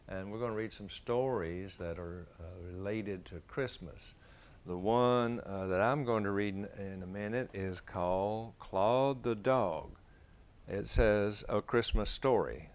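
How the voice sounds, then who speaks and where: An older grandfather reading a children's picture book aloud. His voice is low and gravelly. He reads slowly and homely, with hesitant little fillers.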